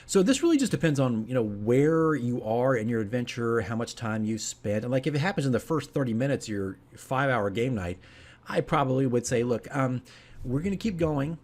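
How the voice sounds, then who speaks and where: A man's voice: medium pitch, animated, brisk, darkish tone. A man hosting a casual video diary as a game master, explaining things conversationally and emphatically, often checking in with the listener.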